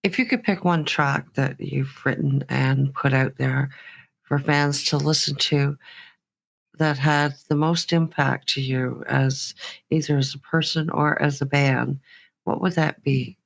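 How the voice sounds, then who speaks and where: A woman's voice: rumbling low, steady tone, a little slow, creaky. A woman hosting a music interview in an effusive, encouraging way. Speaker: interview host.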